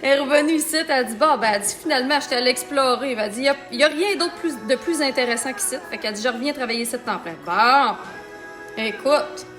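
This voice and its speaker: Femme québécoise bavarde à la voix aiguë. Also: female voice, sits high, quick pace, a bit bright, faint music behind. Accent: Québécois French. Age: middle-aged. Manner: chatty and joking, talks while applying makeup. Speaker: makeup artist and business owner. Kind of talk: live-stream makeup tutorial chat.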